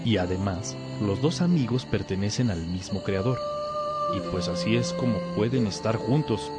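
A man's voice: on the low side, expressive, normal speed, lightly acted. Man playing an earnest lovesick pupil, music behind. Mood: thoughtful. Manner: earnest, hesitant, respectful. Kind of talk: religious radio drama dialogue.

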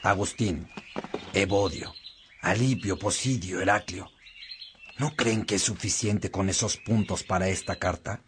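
An actor playing a frank, concerned bishop in a radio drama, talking in a direct and questioning way. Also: male voice, sits low, a little slow, noisy background.

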